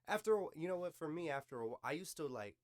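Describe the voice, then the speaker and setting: A man's voice: a little high, lively intonation, quick pace, no echo, clean studio sound. An American man hosting a podcast in casual, slangy, profanity-sprinkled conversation, giving his opinions in a loose, unscripted way. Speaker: podcast host.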